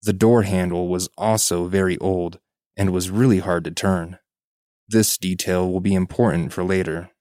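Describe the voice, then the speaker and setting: Male podcast narrator, deep register, reading a personal story aloud, dry studio-like sound. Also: darkish tone, no echo.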